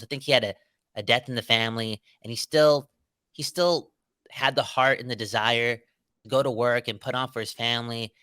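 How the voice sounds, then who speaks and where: A man's voice: mid-range voice, bright, forceful tone, no echo. A man co-hosting a sports podcast, talking in a casual, emphatic, slangy way with lots of "right?" and "bro". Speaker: podcast co-host.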